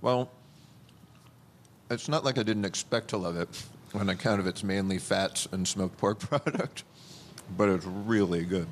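A man's voice: lowish voice, unhurried, long pauses, slight background noise. A man reporting on a dish he is tasting, interviewing a chef with wry jokes. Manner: wry, joking.

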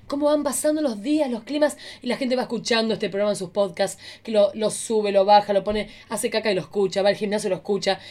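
A woman with an Argentine accent hosting a casual, bawdy comedy podcast in a theatrical, playful way with drawn-out emphasis. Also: medium pitch, fairly even intonation, darkish tone. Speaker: podcast host. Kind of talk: casual, bawdy comic chat.

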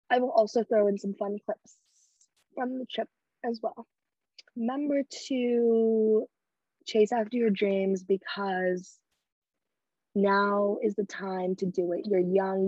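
A woman's voice: medium pitch, a little mellow, dry recording, muffled recording. Blunt, crude young woman podcasting solo. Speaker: podcast host. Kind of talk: casual solo podcast talk. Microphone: podcast microphone.

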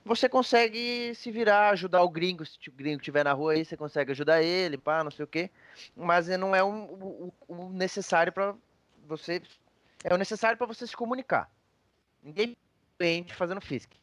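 A man's voice: high-pitched, moderate pace, fairly bright tone, no room sound. A man with a Brazilian accent telling personal anecdotes in a casual, slangy, rambling conversational way.

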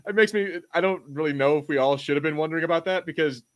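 A man's voice: on the high side, quick pace. An American man co-hosting a podcast, talking casually in a rambling, slangy way about things he is a fan of. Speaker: podcast co-host.

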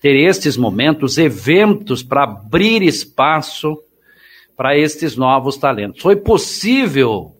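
A man, hosting an interview and posing measured, leading questions. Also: fairly high pitch, very animated, slightly rough. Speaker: interviewer host. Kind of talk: interview conversation.

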